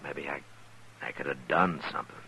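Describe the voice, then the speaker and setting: Western marshal: very low gravelly voice, grave and terse, old radio recording with light noise. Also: male voice, bright, forceful tone, exaggerated voice. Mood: somber, gentle. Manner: terse, measured. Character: grave, loyal frontier US marshal. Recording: noisy vintage radio recording.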